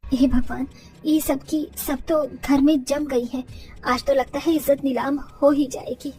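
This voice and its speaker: A poor, kindly and anxious village mother-in-law from a cartoon moral tale , acted by a woman. Her voice is high, set a little back from the mic, with faint music behind it. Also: fairly even intonation, measured pace, a little mellow, distant mic. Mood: worried, flustered. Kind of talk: moral-story cartoon dialogue.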